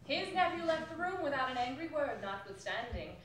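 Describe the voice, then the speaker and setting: A woman narrates a story as a live dramatic reading. Her voice is a little high and theatrical. It comes from far off in a strongly reverberant hall with faint noise. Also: big pitch swings, a little fast, a bit bright. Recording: distant, very echoey, noisy hall recording.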